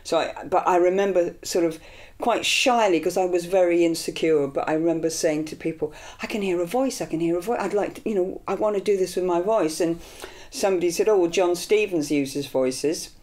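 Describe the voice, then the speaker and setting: A woman's voice: fairly low pitch, fairly quick. Older Scottish-born woman, earnest and rambling. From Scotland. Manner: earnest, animated with hand gestures; rambling with fillers and restarts. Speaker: improvising vocalist. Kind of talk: reflective interview. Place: small indoor studio room.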